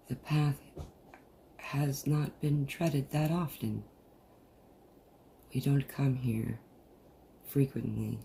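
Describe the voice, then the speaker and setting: A person leading a guided meditation in a soothing, deliberate manner with long pauses, recorded over a video call. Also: mid-range voice, creaky, warm tone. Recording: noisy, muffled group video-call audio.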